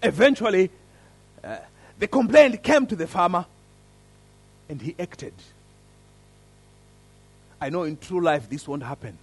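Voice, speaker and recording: A man with an African accent leading a church service, praying and then telling a story in an earnest, lively way. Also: high voice, measured pace, pauses often, husky, no room sound. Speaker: preacher. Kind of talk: sermon with prayer and story.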